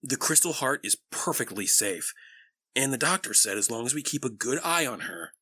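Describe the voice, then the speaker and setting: Man playing a bright, raspy royal pony father. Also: medium pitch, lively intonation, moderate pace, no echo. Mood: reassuring. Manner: reassuring, earnest. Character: protective, reassuring royal stallion father. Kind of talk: animated cartoon audio drama acting. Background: faint music.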